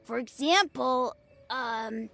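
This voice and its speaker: A woman's voice: fairly high pitch, slow, creaky, a bit bright, soft background music, full character voice. A woman voicing a cheerful, boastful little boy who plays at being a swordsman in a video game, sounding childlike and eager. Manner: childlike, eager, self-important. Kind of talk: English video game voice acting.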